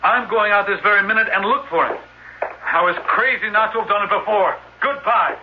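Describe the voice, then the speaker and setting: An actor playing a headstrong, impatient young nephew in an old-time radio drama. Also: male voice, high-pitched, a little fast. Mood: impatient, agitated. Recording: muffled vintage radio recording, faint hiss.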